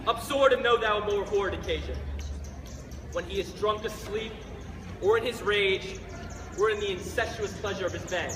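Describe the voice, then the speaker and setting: A man's voice: high-pitched, moderate pace, long pauses, natural voice. A young man performing a vengeful, plotting prince in a projected Shakespearean stage monologue. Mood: brooding, vengeful. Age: teenager. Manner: declamatory, projected stage delivery. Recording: echoey, noisy courtyard recording.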